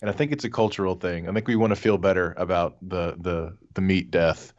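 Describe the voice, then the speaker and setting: A man's voice: sits low, steady tone, fairly quick, darkish tone, dull audio. A man chatting casually as a podcast panelist, rambling and wry, with some swearing. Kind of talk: casual chat.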